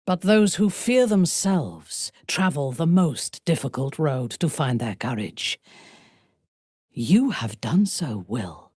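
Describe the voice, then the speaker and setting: A woman playing a proud, ruthless dark elf noblewoman in a video game, speaking formally and deliberately with a sardonic, coolly menacing manner. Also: fairly low pitch, no echo, studio quality. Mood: scornful, measured. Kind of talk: video game character voice acting.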